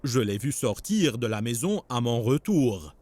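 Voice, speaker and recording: An actor playing a stern, suspicious husband who questions and commands in a religious cartoon dub. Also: male voice, mid-range voice, very animated, a little slow, a little mellow, dry recording. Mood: stern, reproachful. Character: stern, suspicious husband scolding his wife. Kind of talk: dubbed religious cartoon acting.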